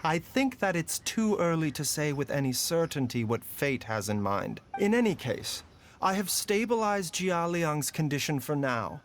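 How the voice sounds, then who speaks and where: A man voicing a composed doctor in a video game, speaking in a measured, formal way. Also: a little high, a little hiss or hum, natural voice. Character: calm male doctor, measured and careful. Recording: game audio with faint music behind.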